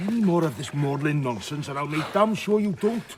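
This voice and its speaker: An actor playing a blunt, teasing doctor who is gruffly loyal to his friend, in a radio drama. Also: fairly high pitch, animated, fairly quick, background noise, lightly acted. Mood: sardonic.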